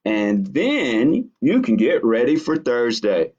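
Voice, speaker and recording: Male children's storytime reader: slow, very animated delivery with picture asides, slightly muffled lo-fi sound. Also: mid-range voice. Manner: expressive, commenting on pictures. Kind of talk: reading picture books aloud to children with asides. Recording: dull lo-fi audio.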